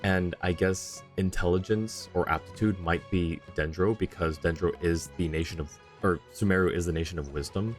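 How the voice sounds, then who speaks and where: A man's voice: deep voice, fairly even intonation, moderate pace, hardly any pauses. A man streaming a video game with casual, rambling commentary, thinking aloud. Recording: faint game music behind.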